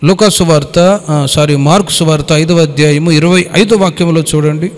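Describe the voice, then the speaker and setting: Male pastor, slightly high-pitched, exhorting colloquially in a Bible study, mixing in English words; echoing hall recording. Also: normal speed. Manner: colloquial, exhorting, with rhetorical questions; code-mixes English words into speech. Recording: echoey hall with long reverb.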